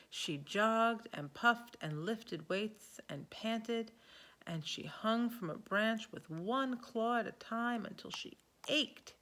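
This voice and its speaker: Slow-paced woman reading a children's story. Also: medium pitch. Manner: expressive storytelling with asides to young listeners. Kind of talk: picture book read-aloud.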